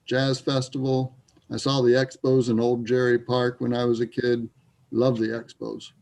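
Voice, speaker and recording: A man playing a wry, troubled, grieving Canadian sports fan in a readers theater piece, with a gravelly voice. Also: mid-range voice, completely flat delivery, moderate pace, a little mellow, slight background noise, lightly acted. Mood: wry, teasing.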